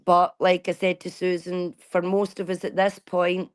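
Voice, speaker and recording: A woman's voice: on the low side, completely flat delivery, moderate pace, clean tone. A woman, talking bluntly and casually to her viewers and swearing freely. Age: 40s. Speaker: vlogger. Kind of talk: casual chat.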